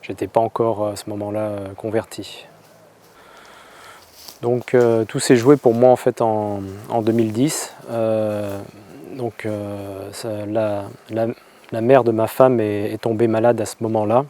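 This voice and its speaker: A man from France recounts his own story in a lowish, mellow voice. He talks in a flat, even tone and keeps stopping to restart his sentences. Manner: halting, with many hesitations and restarts. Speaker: convert telling his story. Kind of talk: personal testimony.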